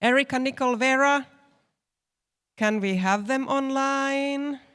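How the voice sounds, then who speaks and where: Woman, slow, chatty, conference host, reverberant hall. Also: high-pitched, a bit bright, smooth. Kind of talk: live stage hosting. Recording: echoey hall.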